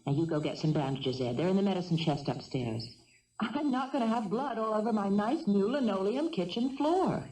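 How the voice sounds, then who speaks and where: A woman's voice: medium pitch, very animated, lightly acted. An actress playing a kind, bossy, motherly housewife in a 1970s radio drama. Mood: bossy, concerned. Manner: brisk, scolding yet caring. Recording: distant old radio broadcast.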